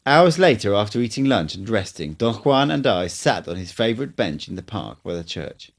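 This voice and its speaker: Low-voiced man reading an audiobook. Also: very animated, normal speed. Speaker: amateur audiobook reader. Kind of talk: first-person audiobook narration.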